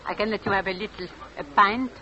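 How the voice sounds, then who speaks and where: A woman's voice: mid-range voice, fairly even intonation, fast, noisy background, lightly acted. An actress playing a nosy, opinionated village shopkeeper woman, chatty and blunt with sly insinuation, in old radio drama. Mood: prying. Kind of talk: 1940s radio drama acting. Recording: dull old radio recording.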